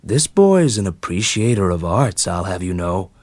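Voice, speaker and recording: A man voicing a rough, folksy fellow, opinionated and bitter but kindly, in colloquial rustic speech. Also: deep voice, very animated, moderate pace, darkish tone, no echo. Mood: protective, hearty.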